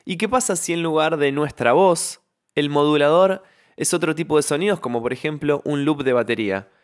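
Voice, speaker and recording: A young man explaining music software in a tutorial, close on the mic in a home studio. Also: a little high, brisk. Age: young adult. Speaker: music production tutorial host. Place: small home studio with foam panels. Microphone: close studio microphone on a stand, with headphones.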